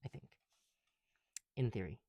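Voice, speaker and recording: A man's voice: a little slow, dull audio. A man doing casual ASMR commentary, muttering to himself close to the mic as he works through a hands-on task. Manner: talking to self, musing aloud. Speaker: ASMR video creator.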